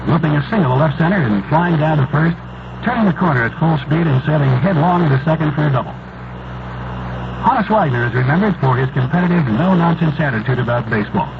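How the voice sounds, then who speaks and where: Gravelly man reading a vintage radio ad. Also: fairly high pitch, expressive, fast. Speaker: radio commercial announcer. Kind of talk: scripted nostalgic ad narration. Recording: noisy, muffled 1970s AM radio broadcast.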